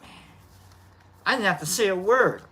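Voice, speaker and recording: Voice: medium pitch, expressive, moderate pace, sharp tone, husky. A live stream host with a nasal voice, chatting casually and rambling while greeting viewers by name. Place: outdoor lounge by a fire pit.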